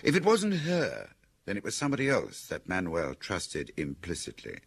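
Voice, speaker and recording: Deep, husky, wry confidant. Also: male voice, wildly swooping pitch, normal speed, dry recording, heavily acted. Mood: probing. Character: dry, probing male confidant of a detective. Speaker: actor in a radio drama. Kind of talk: radio drama acting, intimate dialogue.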